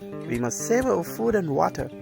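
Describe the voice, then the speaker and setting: Sensible, careful male desert companion in a storybook, done by a male narrator, lively pitch, music under. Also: moderate pace, gravelly, full character voice. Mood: cautioning. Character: cautious, sensible male friend crossing a desert. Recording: music behind the voice.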